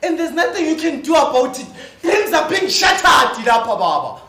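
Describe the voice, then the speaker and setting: Stage drama: male actor as young Zimbabwean minibus hustler, emphatic, weary yet desperate, forceful voice, roomy echo. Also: very high voice, sing-song, normal speed, heavily acted. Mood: bitter despair. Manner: emphatic, repetitive, punctuated with slang. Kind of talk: stage play acting. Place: indoor theatre stage. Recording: echoey stage hall, faint noise.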